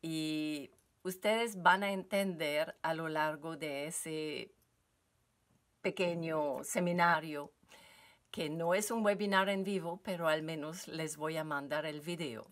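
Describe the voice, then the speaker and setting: A woman in her fifties or sixties with a French Canadian accent and a French mother tongue, a yoga teacher speaking slowly and hesitantly with many fillers, recorded in a furnished basement room. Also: low voice. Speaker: yoga teacher giving a seminar. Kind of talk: recorded solo talk to viewers.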